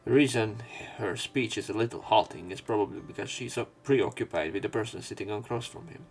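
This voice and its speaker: A low-pitched man narrates a visual novel in a let's play. He reads in a halting way and breaks off for asides, with game music playing clearly behind him. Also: low-quality recording. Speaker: let's player reading a visual novel aloud. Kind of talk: narration and commentary over a game, reading aloud with asides.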